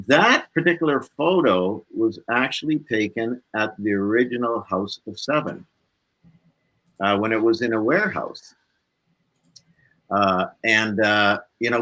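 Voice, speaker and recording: A man's voice: on the low side, fairly bright tone, low-quality recording. A man speaking as a musician guest in a casual interview, telling stories in a rambling, easygoing way. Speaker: musician guest on a talk show.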